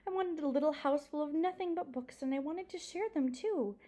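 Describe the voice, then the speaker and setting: A woman's voice: sits high, fairly quick, dark tone, pitched above the performer's own voice, lightly acted. A woman voicing an elderly lady librarian in a storybook, proud and dramatic, drawing out key words with folksy emphasis. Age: older.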